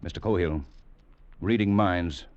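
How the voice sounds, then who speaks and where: Deep-voiced, stern old cavalry captain. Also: male voice, a little mellow. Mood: stern, commanding. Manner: measured, dry, lecturing authority. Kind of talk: 1950s radio drama acting. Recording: dull, noisy old radio recording.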